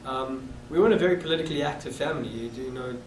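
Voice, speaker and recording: A man in his thirties with a South African accent, recalling his past with halting restarts in an oral history interview. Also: mid-range voice. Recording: echoey room, distant mic, noisy.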